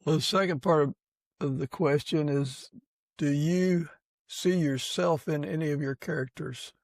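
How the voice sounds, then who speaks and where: A man's voice: fairly high pitch, measured pace, gravelly, dry recording. A man hosting a podcast, asking questions in a conversational interviewing style. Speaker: podcast host.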